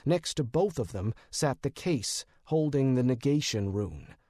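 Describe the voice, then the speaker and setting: A man narrating first-person fiction as an audiobook reader, with measured, dramatic storytelling. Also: mid-range voice, expressive, mellow, no echo, clean studio sound. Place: close, dry studio.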